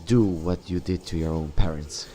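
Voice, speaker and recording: A man roleplaying his video game character aloud, speaking in a halting, polite and dramatic way. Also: deep voice, dark tone, slight background noise. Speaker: gamer voicing his player character. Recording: game music behind the voice.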